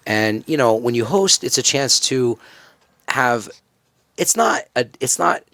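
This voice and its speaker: A man's voice: mid-range voice, expressive, measured pace, fairly bright tone, no room sound, studio quality. A man from Rhode Island, a comedian guest with a big broadcast voice, speaking earnestly and emphatically on a casual podcast. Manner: earnest, emphatic, self-assured.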